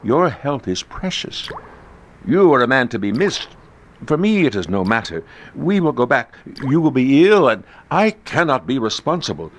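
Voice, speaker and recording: A man voicing a sly aristocrat who flatters with unctuous, mock concern in a gothic story reading. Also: medium pitch, moderate pace, pitched above the performer's own voice. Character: sly, falsely solicitous aristocratic male avenger, flattering his prey.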